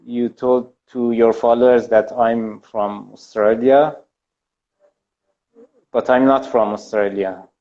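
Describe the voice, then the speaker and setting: A man from Iran with an Iranian accent, a native Persian speaker, chatting casually and haltingly as a guest on a call. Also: mid-range voice, steady tone, dark tone. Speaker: interview guest, illustrator. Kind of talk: casual video-call chat. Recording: dull, lo-fi internet call audio.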